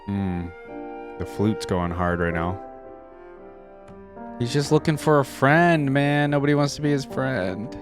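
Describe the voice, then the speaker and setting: Male reaction host, medium pitch, casual and musing, faint music underneath. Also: expressive, a little slow, pauses often. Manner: musing, thinking aloud in short remarks. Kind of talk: casual off-the-cuff reaction commentary.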